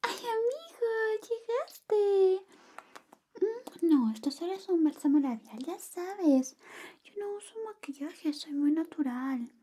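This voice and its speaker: A young woman playing a sly, clingy female best friend secretly in love, in a soft, whispery, coy ASMR roleplay. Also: very high-pitched, lively intonation, takes it slowly, exaggerated voice. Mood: fake sweetness. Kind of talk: Spanish ASMR roleplay, personal attention.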